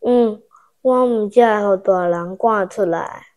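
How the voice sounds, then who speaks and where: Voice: medium pitch, slow pace, darkish tone, natural voice. A performer with a Taiwanese accent playing a naive young village schoolboy in a radio drama. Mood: upset.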